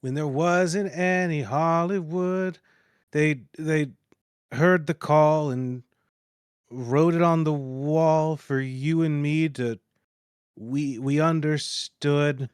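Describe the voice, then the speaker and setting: A man playing a reclusive, defensive hermit in a table read, hesitant and halting in his delivery. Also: fairly high pitch, steady tone, smooth, dry recording, clean studio sound. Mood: wistful, halting singing. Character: reclusive, defensive ancient man hiding in a cave.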